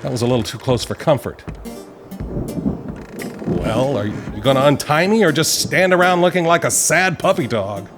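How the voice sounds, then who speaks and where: Man as haughty author, very animated pitch. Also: normal speed, close to an everyday voice. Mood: relieved, condescending. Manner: haughty, condescending. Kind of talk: audio play acting. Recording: music clearly behind the voice.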